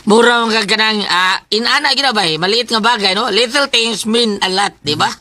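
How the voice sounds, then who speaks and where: A man's voice: high voice, moderate pace, sharp tone. A man playing a chatty, teasing friend in a Filipino radio drama, with a Cebuano accent.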